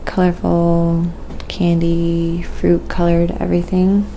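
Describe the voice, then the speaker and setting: Soft, low-pitched, gravelly woman. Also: completely flat delivery, noisy background. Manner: unhurried, musing aloud while working. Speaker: livestream host, painter. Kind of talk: casual ASMR painting livestream chat.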